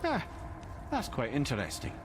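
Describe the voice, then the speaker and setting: An actor playing a wise, witty old storyteller in a video game, eloquent and dramatic. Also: male voice, medium pitch, huge pitch swings, normal speed, noisy background. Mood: wry, curious. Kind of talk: cinematic video game dialogue.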